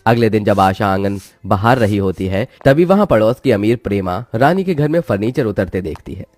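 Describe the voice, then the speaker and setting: A man's voice: lowish voice, animated, moderate pace, darkish tone, dry recording. A man reading a scripted moral story as its narrator, with music behind him.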